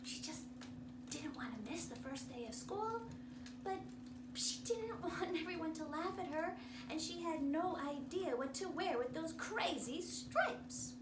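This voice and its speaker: A woman reading a children's storybook aloud as an animated storyteller, with theatrical, dramatic emphasis. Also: very high voice, a little slow. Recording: echoey room, distant mic, clear noise.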